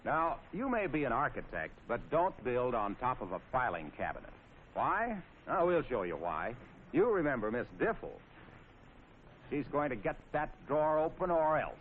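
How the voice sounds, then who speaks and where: A man's voice: mid-range voice, big pitch swings, moderate pace. An American man narrating in 1940s training film style, wry, folksy and playfully sarcastic. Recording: scratchy, tinny 1940s film soundtrack with noise.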